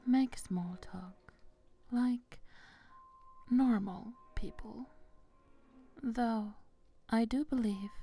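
A woman playing an eerily polite young gothic sister in a whispered ASMR horror roleplay, hushed, genteel and deliberate. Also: medium pitch, vocal fry. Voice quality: soft, whispery.

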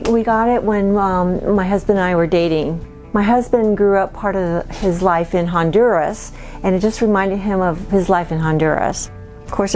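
Homeowner, female, middle-aged, native Spanish speaker from Cuba; casual house-tour delivery, mid pitch, music underneath. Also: a little mellow. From born in Cuba. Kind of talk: casual guided home tour. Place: small wood-panelled house interior. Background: background music.

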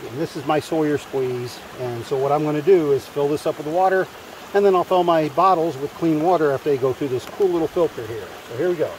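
A man vlogging casually while hiking a forest trail, chatting into his phone and sometimes out of breath. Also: fairly high pitch. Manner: chatty, narrating while walking. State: out of breath at times. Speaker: hiker vlogger. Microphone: handheld phone. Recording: faint wind and stream noise.

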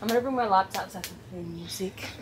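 A woman's voice: medium pitch, quick pace, noisy background. A young adult woman vlogging casually to her viewers, chatty and upbeat, filmed on a camera held at arm's length. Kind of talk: casual vlog chat to camera. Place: car, bedroom and patio in a hot place.

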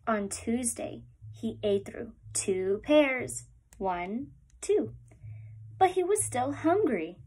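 A young woman, a preschool teacher, reading a picture book aloud to small children at home in a gentle, animated storytime voice. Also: fairly high pitch, slow, breathy edge. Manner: gentle, animated storytime voice, lifts up on repeated lines. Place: small room at home, indoors.

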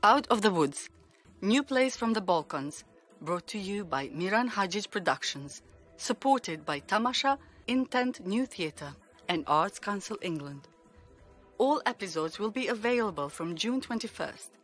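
Woman announcer, mid pitch, scripted promo read, light music behind. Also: lively intonation, a little slow. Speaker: trailer announcer.

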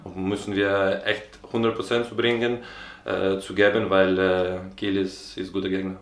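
A man's voice: low-pitched, flat delivery, moderate pace. A young man from Ukraine with a Ukrainian accent, a football player answering an interview in hesitant, broken German. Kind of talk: press interview. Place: indoor stadium media area, sponsor wall. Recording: echoey room.

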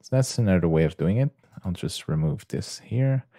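A man presenting a casual software tutorial in a hesitant, halting way with many pauses. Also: deep voice, animated, slight background noise.

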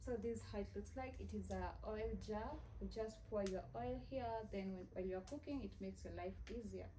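A woman's voice: medium pitch, a little fast, dark tone. A young woman, chatting casually through a haul unboxing in her living room and repeating herself as she shows things. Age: young adult. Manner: chatty, repeats "yeah" and "this is how it looks like". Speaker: lifestyle vlogger. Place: living room, seated on a sofa. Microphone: phone camera a few feet away. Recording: noisy phone recording.

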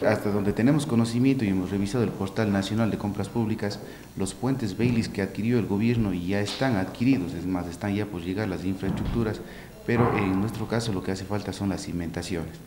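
Ecuadorian guy in his 30s, low voice, formal halting official talk, echoey office. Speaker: mayor being interviewed. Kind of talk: formal spoken statement. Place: small office indoors. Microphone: handheld press mic on desk. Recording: echoey office, faint background noise.

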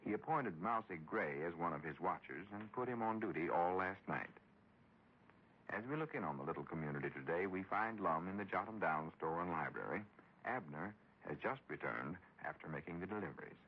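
A man's voice: sits low, expressive, a little fast. A man narrating as announcer of a 1940s radio show, reading scripted lines. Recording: dull, hissy old radio recording.

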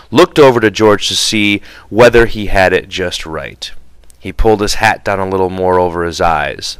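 A man narrates a novel as an audiobook reader. His voice sits fairly low, and the sound is dry, with very little room in it. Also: a bit bright, smooth, no room sound, poor audio.

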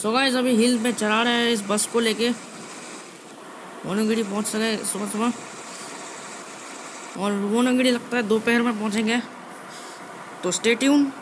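A man's voice: very high voice, steady tone, measured pace, long pauses. A man with an Indian accent giving casual live gameplay commentary as a video host, often repeating phrases and mixing in English words. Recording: noisy amateur screen recording with ambient sound.

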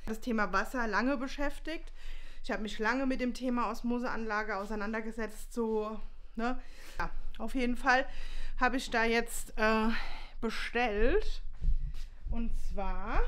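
A woman in her thirties with a somewhat high voice talks informally to her vlog viewers. She explains things as she goes, in a casual way. The recording is made at home, with noticeable noise in the background. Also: fairly bright tone. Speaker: vlogger. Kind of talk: casual vlog chat while cooking and unboxing. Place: indoors at home, kitchen and living room. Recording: clear background hiss and kitchen noise.

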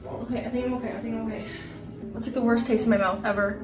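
A young woman in her twenties livestreams from her home kitchen, her voice sitting high. She chats casually and dramatically, groaning at smells and exclaiming as she goes. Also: steady tone, racing, very noisy. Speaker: streamer. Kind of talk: casual livestream chat while cooking.

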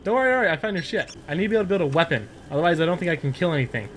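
A man chatting casually with a friend while gaming, excitable and quick to exclaim and swear. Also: fairly high pitch, a little hiss or hum. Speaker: gamer playing in co-op. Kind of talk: casual gameplay chat with a friend.

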